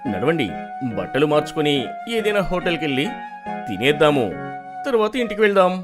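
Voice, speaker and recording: Middle-aged cartoon father, played by a man, hearty teaser, lively intonation, soft music underneath. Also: a little high, brisk. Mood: kindly. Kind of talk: children's cartoon story dialogue.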